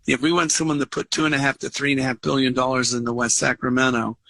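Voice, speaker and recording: A man speaking as an executive in a radio interview, making his case in a measured, earnest way. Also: medium pitch, little pitch movement, vocal fry, bright, forceful tone, no echo.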